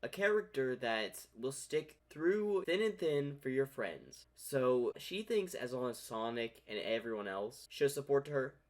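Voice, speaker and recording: A man reacts on camera in a casual, thinking-aloud way, floating theories as he goes. His voice moves lively across its range. He talks like someone chatting to his viewers. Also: mid-range voice, measured pace, hardly any pauses. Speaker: reaction video host.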